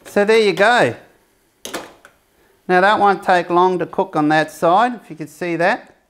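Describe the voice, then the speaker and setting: A man's voice: high-pitched, unhurried, fairly bright tone, a little hiss or hum. A man giving a casual, rambling cooking demonstration for his camping review channel, often restarting his sentences. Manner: chatty, rambling, self-correcting.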